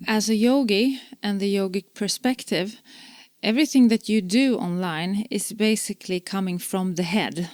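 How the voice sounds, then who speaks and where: Woman podcast host, Swedish her first language; calm, thoughtful interviewer; dry recording. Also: mid-range voice, moderate pace, no room sound. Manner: gives frequent soft backchannel murmurs, calm and thoughtful. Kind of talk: casual interview chat.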